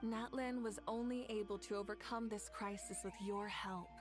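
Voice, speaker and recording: An actress playing a proud, fiery woman who leads her nation, speaking as earnest game cutscene dialogue with soft music behind. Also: mid-range voice, steady tone, normal speed, lightly acted. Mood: solemn. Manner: solemn, earnest storytelling.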